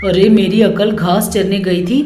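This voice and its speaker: A woman voicing a boastful, blunt old mother-in-law in a cartoon story, talking loudly and scolding. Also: mid-range voice, steady tone, measured pace, lightly acted. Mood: grumbling. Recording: roomy echo, faint music behind.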